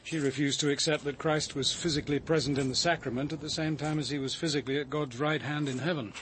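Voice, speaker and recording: An actor playing a courteous, quietly probing gentleman in a radio mystery, with measured, articulate delivery and an English accent. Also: a little high, fairly even intonation, slight background noise, lightly acted. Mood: reassuring. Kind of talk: naturalistic radio drama acting. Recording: faint outdoor ambience behind the voice.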